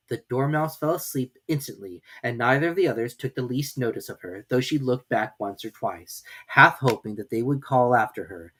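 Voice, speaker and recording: A woman reading a storybook aloud in an animated storytelling style. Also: rumbling low, husky.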